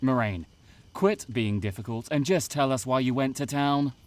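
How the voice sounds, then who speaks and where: A man's voice: mid-range voice, moderate pace, close to an everyday voice. A man playing a blunt, skeptical young male camp counselor in an audio drama, brusque and sarcastic. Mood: impatient.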